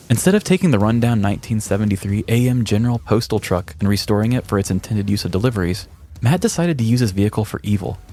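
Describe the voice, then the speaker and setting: A man's voice: fairly low pitch, dark tone. A man podcast host reading a scripted comedic story in a mock-dramatic way.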